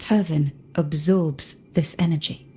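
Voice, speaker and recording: A calm, knowing guardian woman from a fantasy game. Her voice is mellow and slightly low, and she takes her time with every solemn phrase. A little background noise sits behind her. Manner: measured, composed, solemn.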